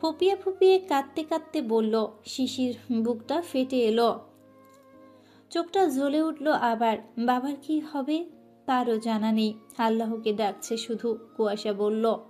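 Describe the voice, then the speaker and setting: A woman tells a scripted love story in a soft, emotive manner. Her voice sits a little above the usual range. Gentle music runs faintly beneath her. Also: a little high, measured pace. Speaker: story narrator. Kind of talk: scripted romantic story narration.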